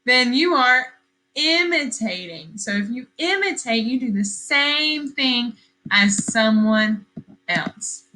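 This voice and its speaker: Slow, bright-voiced woman teacher reading aloud. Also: high-pitched, low-quality recording. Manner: expressive, questioning, repeats for emphasis. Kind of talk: interactive story read-aloud for young children.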